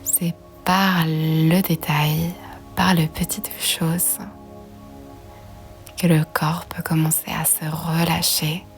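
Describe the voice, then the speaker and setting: Slow, half-whispered woman guiding relaxation. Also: low voice. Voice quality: soft, breathy, half-whispered. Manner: slow, soothing, gentle. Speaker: yoga nidra guide. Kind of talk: guided relaxation meditation.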